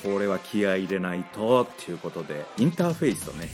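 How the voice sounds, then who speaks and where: Animated, chatty man over music. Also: deep voice, fast talker. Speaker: voice actor. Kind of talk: casual promotional testimonial.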